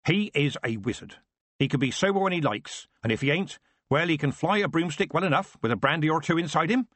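A man's voice: on the high side, expressive, fairly quick, a bit bright, no room sound, pitched above the performer's own voice. A man voicing a cheeky old village witch. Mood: wry. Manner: folksy, teasing, earthy.

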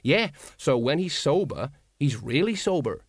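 A man voicing a gloomy, plain-spoken older watch sergeant, flat and colloquial, in a storybook reading. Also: on the high side, unhurried, breathy edge, dry recording, studio quality, exaggerated voice. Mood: glum explaining.

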